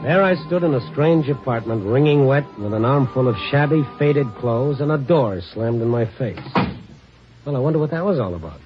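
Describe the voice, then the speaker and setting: An actor playing a wry, curious, unflappable investigator, with brisk colloquial lines and narration in an old radio drama. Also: male voice, mid-range voice, expressive, over loud music, natural voice. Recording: noisy, muffled old radio recording.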